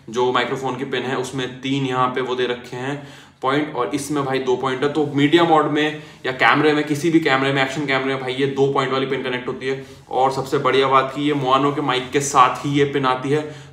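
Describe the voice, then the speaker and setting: Young male vlogger, bright casual delivery, echoey small room. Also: medium pitch. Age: young adult. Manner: chatty, addresses viewers as bhai and yaar. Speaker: motovlogger. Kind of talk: casual product demo. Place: small indoor room at a desk.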